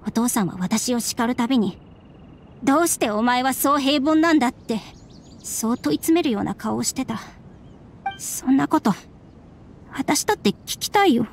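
An actress voicing a subdued young woman in a Japanese game, speaking in a measured, wistful and serious way. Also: high voice, expressive, slight background noise. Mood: resigned, bitter. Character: subdued, resigned young woman, estranged daughter of strict scholar. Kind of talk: Japanese anime game voice acting.

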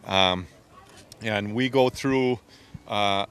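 A man's voice: fairly low pitch, very slow, fairly bright tone, clear, resonant tone. A man outdoors explaining his work at a fish facility into a handheld mic, hesitant with many ums and restarts. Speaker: fisheries staff member. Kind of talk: explaining to an interviewer. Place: outdoors by a brick building.

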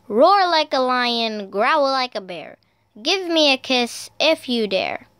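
A woman reading picture books aloud for toddlers in a sing-song, deliberate, child-directed way. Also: high voice, very animated, fairly bright tone, smooth, no room sound. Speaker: storyteller, video host.

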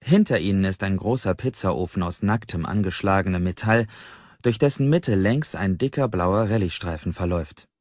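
A man's voice: sits low, moderate pace, a little mellow, no echo, highs cut off. A man narrating a first-person novel as an audiobook in a scripted literary reading style.

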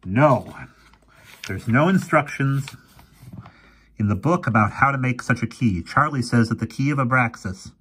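A man's voice: medium pitch, animated, unhurried, pauses often, fairly bright tone, breathy edge, voice pushed up. A man doing a crusty old professor. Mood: stern. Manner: grumbling, theatrical old man. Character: gruff elderly male professor, crusty and self-blaming.

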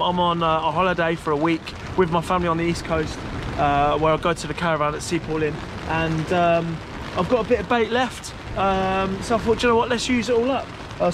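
A man chatting casually and hesitantly to camera as a fishing vlogger. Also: high voice. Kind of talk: casual vlog chat to camera. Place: outdoors on a stormy beach under an umbrella. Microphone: camera at arm's length. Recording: clear rain and weather noise outdoors. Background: rain.

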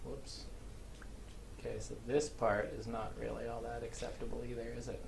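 A middle-aged man, a father playing a video game with his daughter, talking calmly and dryly and explaining things patiently. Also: mid-range voice, normal speed. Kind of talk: casual gameplay chat. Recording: clear background noise.

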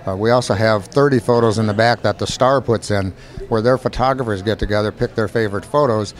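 A man's voice: on the low side, fairly quick. An American man in his sixties explains things matter-of-factly in an unscripted interview. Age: 60s. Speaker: photo exhibit organizer. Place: indoor exhibit hall at a county fair. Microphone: handheld microphone.

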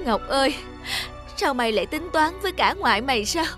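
A woman's voice: high-pitched, lively intonation, fairly quick, fairly bright tone, soft background music. A young woman voicing a remorseful granddaughter in a cartoon, plaintive and self-reproachful. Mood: sad, remorseful. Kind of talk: cartoon voice acting.

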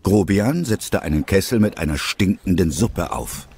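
A man's voice: low voice, lively intonation, moderate pace, creaky, a little mellow, slight background noise. A man narrating an audio drama from a script.

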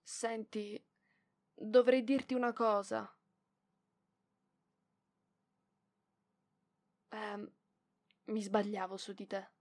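A woman's voice: mid-range voice, fairly even intonation, slow pace, a little mellow. A woman voicing a proud young librarian in an ASMR roleplay, defensive and bashful, with hesitant stammering. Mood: bashful, softened. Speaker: voice actress.

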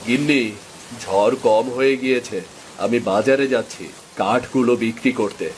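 A man's voice: mid-range voice, moderate pace, a little hiss or hum, lightly acted, poor audio. A man playing a humble, weary village father in a Bengali cartoon moral story, speaking in an earnest, plaintive way. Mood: resolute.

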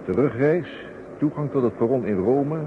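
An actor playing a brisk, methodical police inspector with a businesslike, amiable way, in an old radio drama. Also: male voice, mid-range voice, lively intonation, narrow, tinny audio, lightly acted. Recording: thin, noisy vintage radio recording.

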